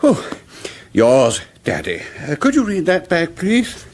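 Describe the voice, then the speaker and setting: A man's voice: a little high, huge pitch swings, takes it slowly, full character voice. An actor playing a foolish, fussy medieval king in a radio comedy, politely dithering and pompous. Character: foolish, fussy, evasive medieval king, mild-mannered and oblivious.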